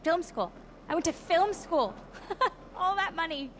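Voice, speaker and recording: A frazzled young female production assistant in a video game, tossing off sarcastic asides. Her voice is high and swings widely in pitch. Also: lively intonation, a little slow, fairly bright tone, slight background noise, heavily acted. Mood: harried.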